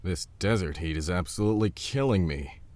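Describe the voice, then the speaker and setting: A man playing a gruff, bossy, battle-scarred survivalist in an audio drama, blunt, teasing and swearing. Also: deep voice, a little fast, darkish tone, dry recording, lightly acted. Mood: bossy, confident.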